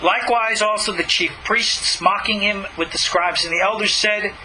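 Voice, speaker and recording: High-pitched, very bright man teaching scripture. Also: fairly even intonation, normal speed, slight background noise, low-quality recording. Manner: emphatic, argumentative, rhetorical questions. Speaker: rabbi and teacher. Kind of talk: spoken scripture commentary.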